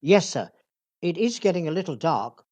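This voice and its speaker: A man's voice: fairly high pitch, big pitch swings, normal speed, darkish tone. A man playing a meek, kindly male office clerk in a radio play, timid, hesitant and deferential. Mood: meek, eager.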